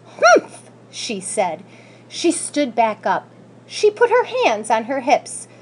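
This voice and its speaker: A woman reading a children's story aloud in an expressive, scripted narrating style. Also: a little high, slow pace, husky, rough recording. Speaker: school staff member reading aloud.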